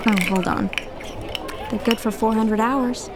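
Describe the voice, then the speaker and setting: A woman's voice: fairly high pitch, steady tone, measured pace, close to an everyday voice. An actress playing a wry, sceptical young working woman in a naturalistic radio drama, with a dry, blunt delivery. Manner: dry, sardonic. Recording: very noisy ambience behind the voice.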